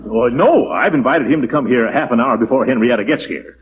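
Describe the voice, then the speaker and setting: An actor playing a pompous, easily flustered middle-aged uncle in a 1940s American radio comedy, with a theatrical, chuckling delivery. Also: male voice, mid-range voice, lively intonation, fairly quick, close to an everyday voice. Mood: pleased, scheming. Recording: dull, noisy old radio recording.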